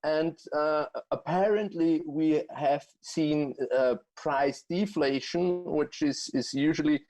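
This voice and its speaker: A man with an Austrian accent, speaking English as a second language after German, answers as a guest economist. His voice sits a little high for a man. He talks haltingly and often stops to restart a phrase. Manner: halting, many fillers and restarts. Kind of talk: remote interview answers.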